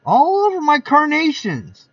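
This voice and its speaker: A man voicing loud, rowdy party guests at a backyard barbecue. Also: very high voice, huge pitch swings, unhurried, gravelly, no room sound, distant mic, dull audio, voice pushed up, full character voice.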